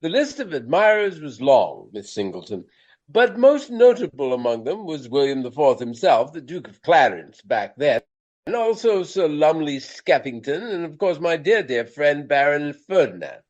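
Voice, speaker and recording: An actor playing a vain, elderly Regency stage actor with a pompous, florid, courtly manner in a radio play. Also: male voice, fairly high pitch, sing-song, moderate pace, a little mellow, dull audio. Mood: wistful, gallant.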